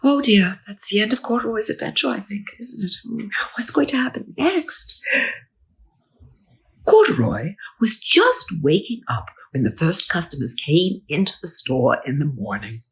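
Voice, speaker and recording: A woman reading a children's storybook theatrically, with dramatic exclamations and hushed asides. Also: mid-range voice, animated, measured pace, poor audio. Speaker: storybook reader.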